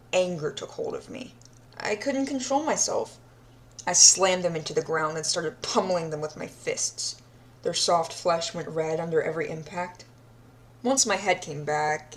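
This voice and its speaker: A woman's voice: on the low side, lightly acted. A woman playing a deranged, obsessive yandere captor in an audio roleplay diary, sadistic yet childlike, speaking in a dreamy, intimate manner and lingering on words. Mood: cold, subdued. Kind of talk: audio roleplay monologue, recorded diary confession.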